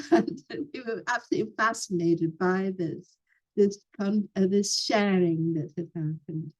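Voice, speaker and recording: An older woman, a former professor, reminiscing in an unhurried conversation with frequent pauses and fillers. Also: medium pitch, darkish tone, low-quality recording.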